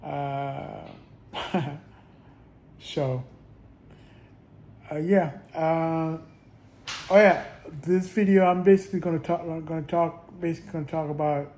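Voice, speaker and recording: A man vlogging in a casual, rambling monologue, halting often with restarts and little chuckles. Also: a little high, steady tone, darkish tone, rough recording.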